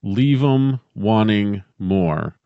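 A man co-hosting a podcast, chatting casually in a halting, emphatic way. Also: on the low side, lively intonation, clear, resonant tone, no echo, lo-fi sound. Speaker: podcast co-host. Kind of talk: casual chat.

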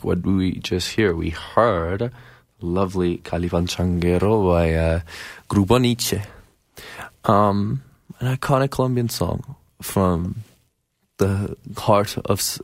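A man's voice: sits low, measured pace, dry recording. A man with a Persian accent hosting a music radio show, chatting casually and rambling with lots of fillers and restarts. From Iran. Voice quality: nasal. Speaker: radio host.

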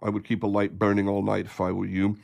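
Low-voiced, sensible doctor. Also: male voice, monotone, quick pace, gravelly, darkish tone. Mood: concerned, resigned. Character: sensible, patient male family doctor, mildly exasperated. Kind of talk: radio play acting.

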